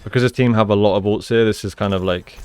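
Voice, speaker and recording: A man giving gameplay analysis commentary as a coach, explaining in a measured way and repeating phrases for emphasis. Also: lowish voice, fairly even intonation, darkish tone, clean tone. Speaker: esports coach, video host.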